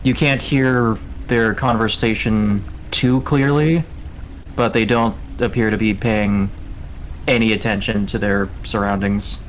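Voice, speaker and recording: A man's voice: on the low side, fairly even intonation, unhurried, slight background noise, rough recording. A man working as game master, describing scenes to players in a tabletop role-playing game.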